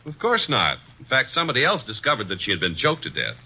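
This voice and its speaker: A man's voice: medium pitch, wildly swooping pitch, fast, pushed and bright, breathy edge, exaggerated voice, poor audio. An actor playing a guarded, impatient male businessman in his thirties in old-time radio acting, giving curt, evasive answers. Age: 30s. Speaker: witness.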